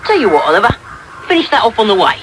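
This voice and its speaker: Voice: mid-range voice, very animated, racing, lightly acted. An actor playing a cocky young London pickpocket boy in a radio drama, full of teasing slang, taped off a noisy radio. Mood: breezy, swaggering. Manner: slang-heavy, teasing patter. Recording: noisy medium-wave radio taped off air.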